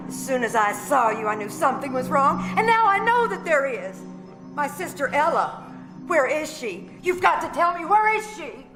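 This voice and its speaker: A woman's voice: high voice, big pitch swings, over music. A woman playing an earnest, determined young job seeker in an old-time radio drama. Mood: desperate, accusing. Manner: halting, polite, earnest delivery. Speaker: amateur radio troupe actor. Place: live performance at a winery.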